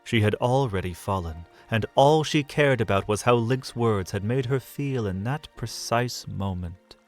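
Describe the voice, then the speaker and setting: A man narrating a romantic fan audio drama, measured and soft. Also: lowish voice, lively intonation, soft background music.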